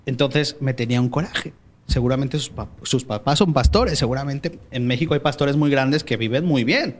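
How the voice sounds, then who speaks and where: A man's voice: medium pitch, moderate pace. A young man from Spain with a Málaga accent mixed with Mexican traces, sharing his faith story as a podcast guest in a chatty way, full of hesitations and restarts. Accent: Málaga Spanish with Mexican traces. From born in Mexico, raised in Spain. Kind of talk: personal faith testimony in casual conversation.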